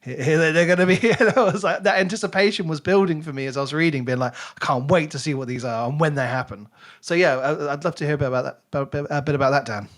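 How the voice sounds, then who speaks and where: A man's voice: on the high side, fast. A man hosting a podcast interview in a conversational style, with chatty, wordy and rambling questions. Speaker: podcast host.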